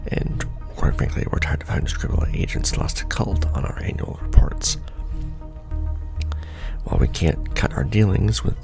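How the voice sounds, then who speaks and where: A man playing a weary, wry official of a shadowy secret society, with an extremely low, heavily creaking murmur over clearly audible music. He rambles through an ASMR roleplay briefing, deadpan and sardonic. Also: moderate pace, heavily acted. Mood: dry, sardonic. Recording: background music under the voice. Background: background music.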